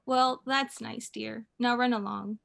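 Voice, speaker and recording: Radio play mother: female actor, patient, mildly amused, unhurried, slightly high pitch. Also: fairly even intonation, gravelly, heavily acted. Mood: amused, indulgent. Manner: gently chiding, unhurried.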